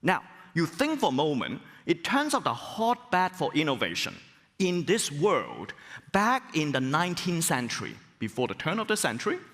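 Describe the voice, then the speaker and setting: A middle-aged man from Hong Kong, a business school professor, giving an animated keynote talk with rhetorical questions and exclamations. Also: a little high, moderate pace. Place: large conference hall. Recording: long hall reverb.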